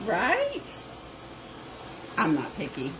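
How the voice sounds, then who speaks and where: A woman's voice: medium pitch, huge pitch swings, very slow, fairly bright tone, a little hiss or hum, rough recording. A middle-aged woman with a North Georgia Southern accent, chatting casually while demonstrating a craft project. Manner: chatty, drawling, says "y'all" and "girl". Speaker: craft shop owner and video host. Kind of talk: casual crafting livestream chat.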